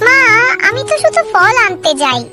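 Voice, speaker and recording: Woman voicing a very high, kind little boy. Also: sing-song, normal speed, loud music behind the voice. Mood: eager, trusting. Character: kind, hardworking, trusting small village boy. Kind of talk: children's moral story cartoon.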